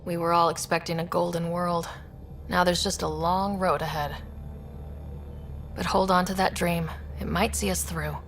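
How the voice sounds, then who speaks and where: An actress plays a young woman leading explorers in a sci-fi video game. Her voice sits low with noticeable fry. She is understated and earnest, with faint ambience behind her. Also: level tone, moderate pace, slight background noise, close to an everyday voice. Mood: resolute. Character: young, new, resolute human explorer leader, female.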